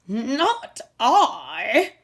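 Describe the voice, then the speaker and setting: A woman voicing a gossipy, lazy goose in a storybook, refusing loudly and curtly. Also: on the high side, huge pitch swings, very slow, slightly rough, voice pushed up, heavily acted. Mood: dismissive.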